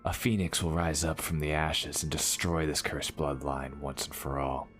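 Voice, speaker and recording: An actor in an audio drama playing a vengeful rebel assassin, slow, ominous and full of cold contempt. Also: male voice, a bass voice, little pitch movement, a bit bright. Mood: menacing contempt. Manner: slow, deliberate, ominous, taunting. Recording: dramatic music behind the voice. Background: music.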